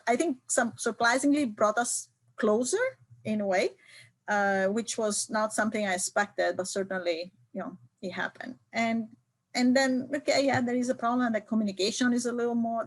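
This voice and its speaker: A woman's voice: mid-range voice, normal speed. Hesitant middle-aged woman IT leader. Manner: hesitant, many fillers and restarts. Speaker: IT leader guest. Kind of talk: remote interview conversation.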